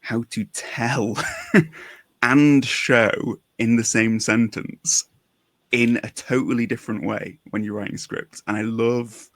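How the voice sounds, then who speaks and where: A man's voice: medium pitch, steady tone, slow pace, dry recording. A man co-hosting a writing podcast, conversational and engaged, building long explanations that lead into questions. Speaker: podcast co-host, writer. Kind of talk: conversational interviewing.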